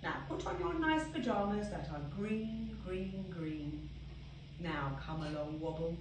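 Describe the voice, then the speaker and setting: Woman playing mother bear, storybook, sing-song, coaxing, playfully firm, slow, roomy noisy recording. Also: fairly low pitch, animated. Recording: echoey room, distant mic, background noise.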